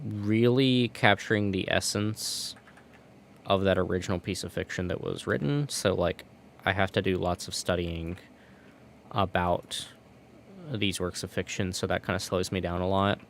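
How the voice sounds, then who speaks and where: Low creaky-voiced guy rambling on a game stream at home, faint hiss behind. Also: moderate pace. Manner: rambling, restarts words often. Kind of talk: casual chat while gaming.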